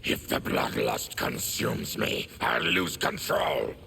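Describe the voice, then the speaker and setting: An actor voicing a fierce, grave male beast warrior in a video game, with a gravelly voice. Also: lowish voice, wildly swooping pitch, slow, bright, forceful tone, slight background noise, exaggerated voice. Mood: grim.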